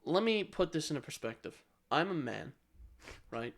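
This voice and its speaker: A man's voice: fairly high pitch, sing-song, normal speed. A man co-hosting a podcast, chatting casually and giving opinions in a blunt, sweary way. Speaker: podcast co-host.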